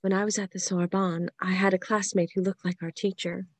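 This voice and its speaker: Woman playing a haughty elegant older lady in a drama read, coy and genteel, medium pitch, dry sound. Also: level tone, fairly quick, no room sound, natural voice. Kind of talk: screenplay table read.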